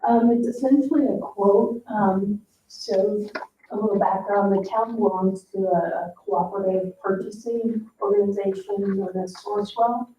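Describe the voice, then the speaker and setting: A woman's voice: mid-range voice, steady tone, unhurried, dark tone. An American woman explaining things hesitantly as a town staff member presenting at a board meeting. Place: indoor municipal meeting room. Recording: echoey room, distant dull microphone, faint noise.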